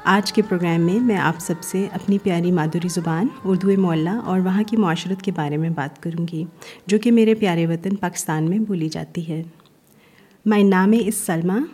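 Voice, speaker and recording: A woman's voice: lowish voice, a little mellow. Woman presenting her language. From Punjab, Pakistan. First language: Punjabi. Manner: careful, measured delivery with small grammar slips. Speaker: guest presenter. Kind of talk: reading a prepared talk on her language.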